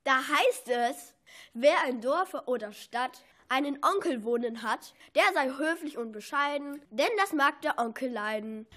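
A woman's voice: high voice, animated, measured pace, sharp tone, full character voice. A woman playing a mischievous bookworm from a picture book in a children's radio play, declaiming with relish. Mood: reciting with relish. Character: cheeky prankster bookworm from a classic picture book.

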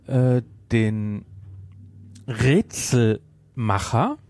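A middle-aged man talks casually as a podcast co-host. He is playful and teasing and likes to interject. His voice sits at a medium pitch in a close, dry recording. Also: animated, extremely slow, darkish tone, no room sound. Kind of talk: casual chat, recapping an audio drama.

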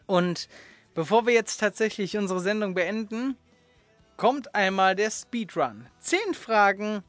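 A man's voice: sits high, expressive, measured pace, a bit bright, dry recording, faint music behind. Informal male podcast host, dry close sound. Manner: informal, slangy and encouraging. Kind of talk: casual interview chat with quick-fire questions.

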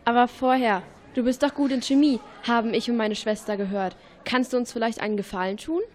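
A woman's voice: on the high side, fairly even intonation, a little fast, darkish tone, close to an everyday voice. A female performer playing a chatty, eager girl detective in a German radio play.

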